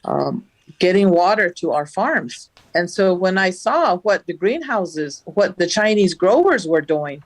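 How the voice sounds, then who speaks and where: A woman from the Navajo Nation, a farmer, recounting events in a studio interview with a loud voice and an animated telling. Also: on the low side, measured pace, dry recording, rough recording. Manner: animated, acts out the dialogue she recounts. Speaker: farmer, guest.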